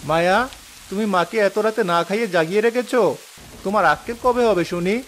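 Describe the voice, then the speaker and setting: A woman voicing a young man who has just become a village headman, earnest and dutiful, in a deep put-on voice. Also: a little fast, slight background noise, natural voice.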